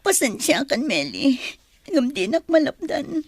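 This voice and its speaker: A woman plays a heartbroken young mother in an audio drama. Her voice is very high and pleading, recorded close and dry. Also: sing-song, a little slow, no echo. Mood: sorrowful, pleading. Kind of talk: Ilocano audio drama acting.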